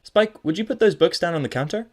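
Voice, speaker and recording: A man voicing an excitable, bookish young female pony who talks in an eager, gushing way. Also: sits high, fast talker, pitched above the performer's own voice. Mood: polite.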